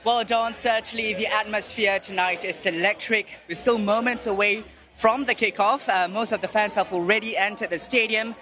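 A man's voice: high voice, moderate pace. A young man giving a live news report outdoors outside a stadium, speaking into a handheld mic and raising his voice over the crowd. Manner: energetic, raises voice over crowd. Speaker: field reporter.

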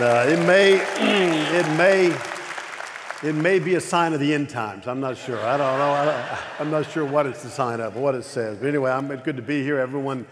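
A man's voice: mid-range voice, slight background noise. A middle-aged man preaching a sermon at a pulpit in a large church auditorium, talking with a stutter, frequent repeats and restarts. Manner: gestures broadly at a pulpit. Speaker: pastor. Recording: long reverb of a large hall.

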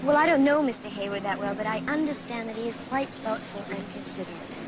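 Young female secretary actress, audio drama: curt, impatient, evasive, slightly high-pitched, over noticeable traffic noise. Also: fast talker, close to an everyday voice. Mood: defensive. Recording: vehicle and outdoor noise behind.

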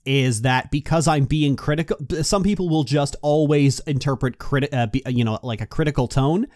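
A man hosting a casual discussion, speaking loudly, directly and clearly into the microphone at a quick clip. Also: mid-range voice, dry recording, studio quality. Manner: loud, direct, clear delivery; fast-talking. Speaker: video essay host. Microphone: close studio microphone.

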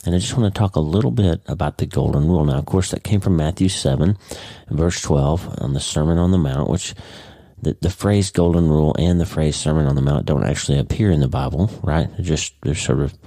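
A man's voice: extremely low pitch, fairly quick, no echo. A man hosting his own podcast in a casual spoken monologue, a little congested, chatty and easygoing, recorded at home early in the morning. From United States. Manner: warm, chatty, leaves in his own slips. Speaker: podcast host.

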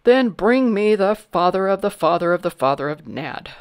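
A woman voicing a blustering, commanding old king who booms out imperious orders in a storybook. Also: very animated, normal speed, creaky.

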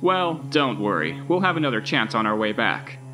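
A man playing a recorded tour guide in an audio drama, with measured, presentational narration. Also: medium pitch, big pitch swings. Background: music.

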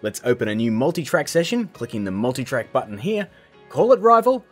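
A man's voice: medium pitch, big pitch swings, fairly quick, husky, soft background music. A man in his thirties hosting a filmmaking tutorial in a playful, casual, emphatic way. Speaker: host of a filmmaking tutorial show. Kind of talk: playful scripted tutorial to camera.

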